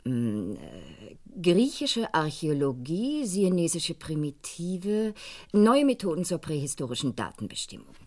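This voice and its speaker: A woman's voice: low-pitched, huge pitch swings, measured pace, a little mellow, heavily acted. An actress playing a composed middle-aged woman who runs a school office in a radio crime drama, speaking formally and precisely with a slightly haughty air. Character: composed, formal female art school administrator. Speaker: actor.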